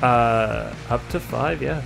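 A man playing a tabletop game, talking casually in a halting, deliberate way. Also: medium pitch. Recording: clear guitar music behind voice. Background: guitar music.

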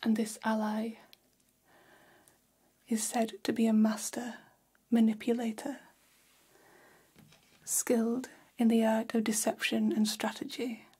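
A woman playing a gossipy, flattering vampire hair stylist in a whispered ASMR role-play, speaking in a soft, breathy, conspiratorial hush. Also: on the high side. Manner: slow, hushed, conspiratorial, indulgent. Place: small decorated indoor room.

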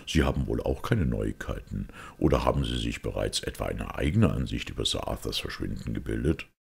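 A man voicing a small, kindly priest detective in an audiobook, speaking gently, calmly and earnestly. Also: very deep voice, fairly quick, creaky, husky, voice pushed down, heavily acted. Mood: kindly probing.

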